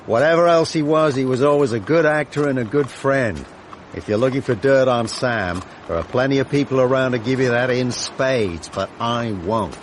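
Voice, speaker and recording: A man's voice: medium pitch, moderate pace, slight background noise, close to an everyday voice. A man playing a brash, blunt veteran film director in a radio drama, speaking slangy, brusque American English. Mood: guarded, defensive.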